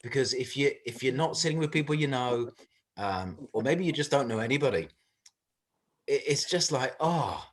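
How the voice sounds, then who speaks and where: Man, church group leader, mid-pitched voice, earnest and conversational, informal address over video call. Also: expressive, normal speed.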